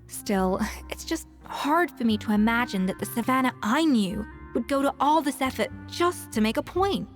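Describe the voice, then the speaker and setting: A woman's voice: on the high side, expressive, moderate pace, hardly any pauses, faint music behind, natural voice. A woman playing a proud, self-assured young master chef in an anime game, composed and earnest, with articulate, formal phrasing. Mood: troubled, reflective.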